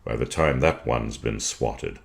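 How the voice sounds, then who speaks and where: Very deep, gravelly man reading poetry. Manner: deliberate, precise phrasing with weighted pauses. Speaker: poetry reader. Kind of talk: measured dramatic poetry reading.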